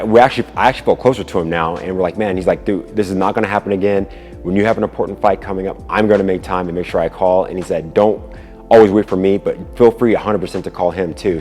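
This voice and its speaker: A man's voice: deep voice, a little mellow. A man telling a personal story in a casual, earnest, conversational way, as a video creator would. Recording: long hall reverb, music behind. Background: music.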